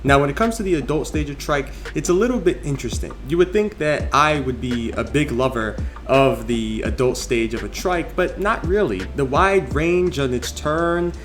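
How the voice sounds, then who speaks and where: A man giving opinionated, conversational game commentary in an emphatic manner, as a reviewer ranking things in a tier list. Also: fairly high pitch.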